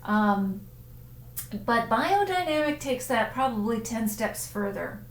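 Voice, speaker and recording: A woman's voice: on the high side, animated, slow, echoey room, slight background noise. A woman hosting a casual live stream, chatting about wine and answering viewers' comments as they come in, with frequent ums and self-corrections.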